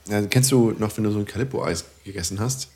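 A man's voice: fairly low pitch, quick pace, darkish tone. A man, a guest in casual podcast banter, talking in a playful, wry, colloquial way.

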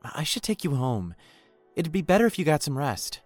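Sweet awkward lovestruck young man, audio drama, pitch jumps around a lot, music under it. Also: sing-song, moderate pace, no room sound. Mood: concerned. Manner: hesitant, earnest. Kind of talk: naturalistic audio drama acting.

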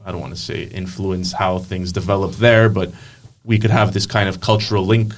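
A man's voice: low voice, level tone, normal speed, recorded from a distance. A man speaking as a student guest on a podcast, earnest and firm in his opinions. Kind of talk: conversational interview.

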